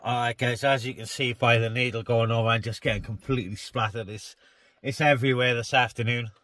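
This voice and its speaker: A man's voice: mid-range voice, fairly even intonation, brisk, a bit bright, a little hiss or hum. A man operating a CB radio, chatting casually to other operators and to his viewers. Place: inside a parked car on a hilltop.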